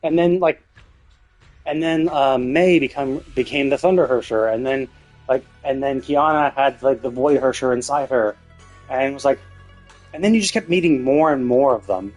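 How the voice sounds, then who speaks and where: A man's voice: medium pitch, moderate pace, darkish tone, faint music behind, poor audio. A man live streaming a game, talking casually in a rambling, opinionated way with some swearing.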